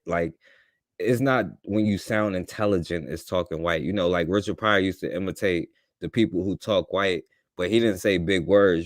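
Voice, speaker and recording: A man's voice: sits low, no echo, studio quality. A man hosting a casual talk radio panel in a laid-back, conversational way. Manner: laid-back, conversational, addresses guests as brother. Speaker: radio show host.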